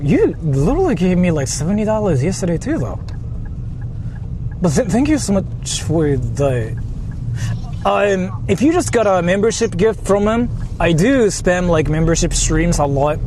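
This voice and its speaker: High-pitched, animated male livestreamer in a noisy car. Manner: rambling, repetitive. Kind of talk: casual livestream chat while driving. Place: inside a moving car. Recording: loud car and road noise. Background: vehicle driving noise.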